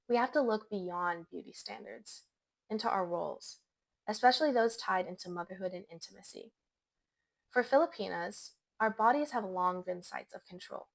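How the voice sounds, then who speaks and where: A young woman reading a scripted personal essay as a student presenter, in an earnest, measured way. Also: medium pitch, vocal fry. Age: young adult.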